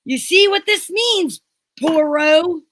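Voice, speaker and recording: A flustered, excitable police inspector from an old-time radio detective play, acted by a woman. The voice is extremely high and sharp, recorded dry, with melodramatic, agitated delivery. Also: slow, dry recording, full character voice. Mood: worried.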